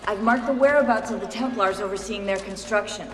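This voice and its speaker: A woman's voice: medium pitch, fairly quick, lightly acted. Actress as a wry tech woman, very echoey. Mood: businesslike. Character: laid-back young female tech operative, wry. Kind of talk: casual video game acting. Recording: very echoey room with long reverb.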